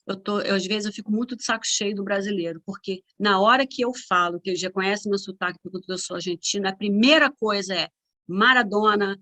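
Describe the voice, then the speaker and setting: A woman's voice: medium pitch, brisk, bright, forceful tone, lightly acted. A woman voicing a fed-up Argentine woman professor who complains about the same old remarks.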